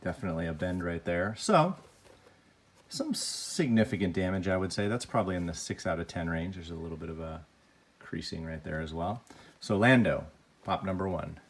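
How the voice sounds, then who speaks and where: Gravelly low-voiced man with a cold. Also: lively intonation, normal speed. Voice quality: deeper than usual, gravelly. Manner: plain, chatty, grading each item out loud. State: has a cold. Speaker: toy unboxing vlogger. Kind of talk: casual unboxing commentary.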